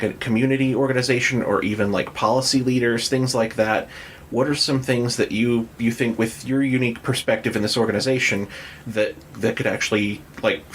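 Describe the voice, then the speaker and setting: A man's voice: lowish voice, a little hiss or hum. A man hosting a casual interview, asking questions in a tentative, roundabout way. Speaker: podcast host, interviewer.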